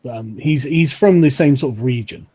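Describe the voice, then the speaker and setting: A man's voice: medium pitch, moderate pace, mellow, husky. A man narrating a tabletop game as game master, laughing often as he describes scenes.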